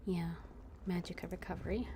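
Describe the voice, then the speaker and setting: A woman streaming a game, thinking aloud in an unhurried, casual way. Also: lowish voice, fairly even intonation, dark tone, a little hiss or hum. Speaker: gaming streamer. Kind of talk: casual gameplay commentary.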